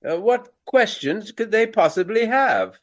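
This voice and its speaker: An actor playing a flamboyant, vain Regency English stage actor with pompous, formal old-fashioned speech in a radio play. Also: male voice, sits high, animated, a little slow, muffled recording. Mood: puzzled.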